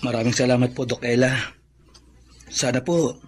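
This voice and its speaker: A man's voice: medium pitch, fairly even intonation, moderate pace, breathy edge, natural voice. A man acting a humble, earnest recovering son in a Filipino radio drama, speaking politely and tenderly with a Filipino accent.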